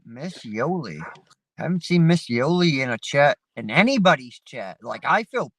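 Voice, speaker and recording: A man's voice: fairly high pitch, very animated, moderate pace, no room sound. A man hosting a livestream panel, chatting casually in a rambling, profane, laid-back way. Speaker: livestream host. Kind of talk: casual chat. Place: sitting in his parked vehicle. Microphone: phone.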